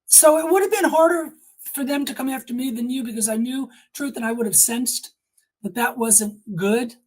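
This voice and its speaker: An older woman giving personal testimony in conversation as an interview guest, speaking emphatically with deliberate repetition. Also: fairly high pitch, a little mellow, husky, low-quality recording.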